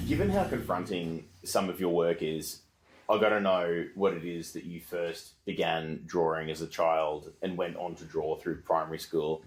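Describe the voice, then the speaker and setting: A man hosting an interview show, moving from scripted narration into playful, wry conversation. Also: low-pitched. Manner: articulate, wry, playful asides. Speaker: podcast host and interviewer. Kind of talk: scripted narration then casual interview chat.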